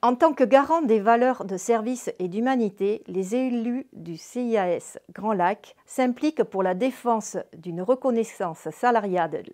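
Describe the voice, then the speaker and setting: A woman delivering a scripted, formal New Year's address as a local social service official, in a measured read-out manner. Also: mid-range voice, darkish tone.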